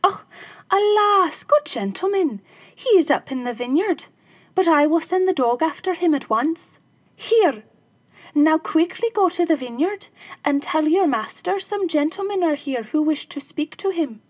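A woman voicing a shoemaker's wife in a fairy tale, speaking high and excitedly. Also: measured pace. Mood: fluttery. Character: shoemaker's wife, eager and fluttery.

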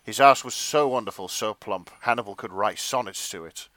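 A man narrating comic fan fiction on a podcast in a theatrical, deliberate way. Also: fairly low pitch, bright, forceful tone, no echo. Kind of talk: dramatic comic reading of fan fiction with casual banter.

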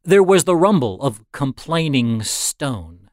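A man reads a short story aloud in a dramatic, deliberate style. His pitch moves widely from phrase to phrase, and he takes his time, leaving pauses for effect. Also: very animated, no echo, clean studio sound. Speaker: audiobook narrator. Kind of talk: dramatic scripted story narration.

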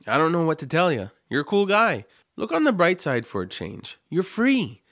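Man playing an upbeat, high-voiced young adventurer. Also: wildly swooping pitch, normal speed, fairly bright tone, no room sound, studio quality, full character voice. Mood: encouraging. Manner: cheerful, coaxing. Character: upbeat, eager young human adventurer, friendly and optimistic. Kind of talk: family audio drama acting.

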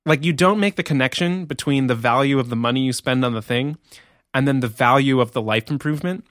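A man's voice: mid-range voice, brisk, a bit bright, no echo. Casual podcast guy, laid back, swears a bit, middle voice, dry close mic. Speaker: podcast co-host. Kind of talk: casual chat.

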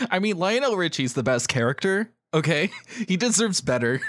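A man's voice: high-pitched, sing-song, moderate pace, a bit bright, no echo, studio quality. A man co-hosting a podcast in a casual, jokey, conversational way. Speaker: podcast co-host.

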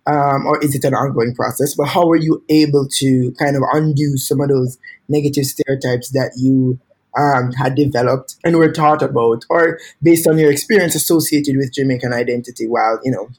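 A man interviewing guests, asking questions in a measured, halting way. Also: mid-range voice, steady tone, darkish tone, slightly rough, low-quality recording.

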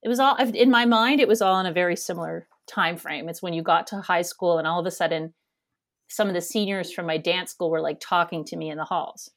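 Casting note: Canadian woman in her thirties, interview guest, loud nasal voice, chatty and rambling, lower voice. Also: brisk. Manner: chatty, rambling, laughs often. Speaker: guest, theater education director. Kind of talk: casual interview conversation.

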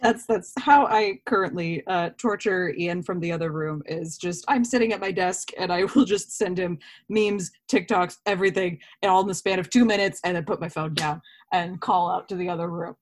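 A woman with a mid-pitched voice talks in a relaxed, conversational interview style. She slips into little imagined quotes as she explains her points. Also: moderate pace, few pauses, a little hiss or hum. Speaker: interview participant, actor.

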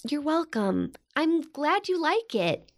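A high, very expressive young American woman, as a tomboyish sister in a modern audio drama. She is blunt, teasing and loyal, with casual, natural delivery. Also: takes it slowly, exaggerated voice. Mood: reassuring, confiding. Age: young adult. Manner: casual, playful, frank.

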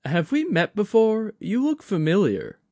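A man voicing a young woman who keeps a shrine, bright, courteous and eager, in a high put-on voice. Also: wildly swooping pitch, a little slow, dry recording, full character voice.

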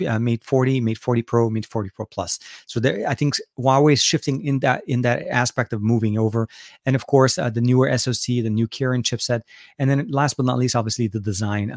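A man with a Lebanese accent hosts a relaxed live Q&A, answering viewers' questions as they come in. He talks in a loose, rambling way and often restarts his sentences. His voice sits at an ordinary pitch and sounds dry and close to the mic. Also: dry recording. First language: Arabic. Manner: rambling, many fillers and restarts. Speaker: tech channel host answering live chat. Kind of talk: casual live Q&A.

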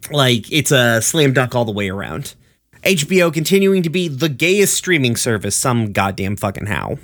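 A man's voice: medium pitch, very animated, moderate pace, a bit bright. Laid-back joking male podcast host. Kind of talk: casual chat.

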